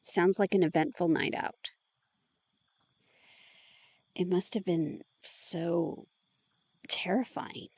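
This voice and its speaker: A sharp-tongued young woman who questions everything with dry sarcasm. Her voice is husky and slightly low, recorded close and dry. Audio drama. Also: slow pace, long pauses, dry recording, exaggerated voice.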